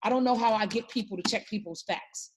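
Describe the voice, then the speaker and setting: A woman's voice: fairly high pitch, fast talker, background noise. A woman hosting a talk show, speaking with emphatic, passionate conviction in casual political discussion.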